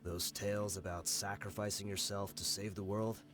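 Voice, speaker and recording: Actor as a deep-voiced grim desert mercenary. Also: male voice, flat delivery, normal speed, music in the background, natural voice. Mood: solemn, remorseful. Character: grim, remorseful desert mercenary man bent on revenge. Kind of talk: dramatic game voice acting.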